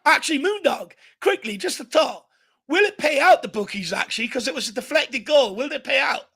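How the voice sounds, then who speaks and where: A high, bright-edged Irish male voice, hoarse and a little gravelly, calling the play with animated energy. He hosts a fan watchalong from a home desk mic. He cheers the players on and breaks down the action as it happens. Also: fairly quick. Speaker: fan channel host. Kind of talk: excitable live match commentary.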